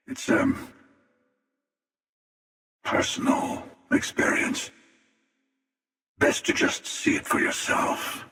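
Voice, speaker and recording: An actor playing a cynical, confident older space villain in a video game, wry and taunting, with a gravelly voice. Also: male voice, lowish voice, a bit bright, exaggerated voice. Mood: musing, wry. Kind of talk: video game character voice lines. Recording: very echoey hall reverb, distant.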